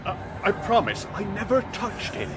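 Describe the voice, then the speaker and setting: Flustered buffet steward, high voice, jumpy intonation, officious, radio play. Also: male voice, big pitch swings, normal speed, music in the background. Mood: panicky. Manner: officious, nervous. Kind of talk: radio drama acting.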